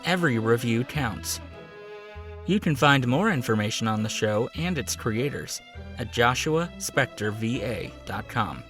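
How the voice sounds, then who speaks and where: A male announcer reading a clear, promotional scripted outro for an audio drama podcast. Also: medium pitch, expressive, measured pace, a bit bright, faint music behind.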